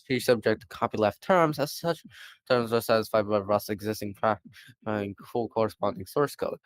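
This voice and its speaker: A man reading a technical policy document aloud, often stumbling over words and correcting himself. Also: mid-range voice. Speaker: reader.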